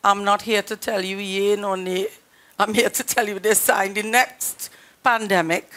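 A middle-aged woman pastor with a Trinidadian accent, a little hoarse, preaching earnestly to her congregation through a headset mic in a small studio. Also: mid-range voice, steady tone, unhurried, fairly bright tone. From Trinidad and Tobago. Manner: earnest, emphatic, direct address to congregation. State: slightly hoarse. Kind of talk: sermon.

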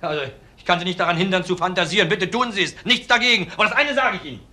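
An actor playing a cold-blooded ex-convict widower in a crime drama, defiant, blunt and self-assured. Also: male voice, sits high, racing, sharp tone. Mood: coolly taunting. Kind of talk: German TV crime drama acting. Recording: faint background noise.

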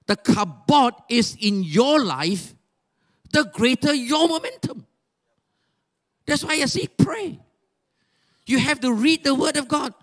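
An older man with a Malaysian English accent preaching a sermon on a church stage into a handheld mic, animated and exhorting, with rhetorical questions and sudden shouts. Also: very high-pitched. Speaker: pastor.

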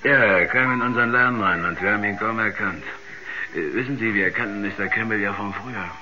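An actor playing a rambling, uneasy village shopkeeper who stammers and repeats himself in a 1970s radio drama. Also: male voice, lowish voice, brisk, bright, forceful tone, soft background music. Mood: chatty, puzzled. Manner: hesitant, stammering, repetitive, rambling. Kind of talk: 1970s science-fiction radio drama acting. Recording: dull, noisy 1970s radio recording.

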